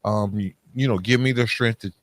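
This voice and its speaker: A man's voice: lowish voice, steady tone, brisk, no room sound. A man hosting a podcast interview in a casual, chummy, conversational way. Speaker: podcast host interviewing a guest. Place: living room at home.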